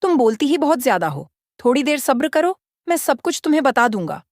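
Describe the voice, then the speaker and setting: A woman narrator acts out a jealous village schemer in a storybook tale. He is bossy and impatient, pitched up and recorded dry. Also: very animated, a little fast, no room sound. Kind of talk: storybook dialogue.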